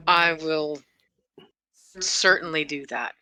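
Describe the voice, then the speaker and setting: Low-voiced woman, bright and sharp. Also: fairly even intonation, extremely slow. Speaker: tabletop game player. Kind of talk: in-character roleplay chat.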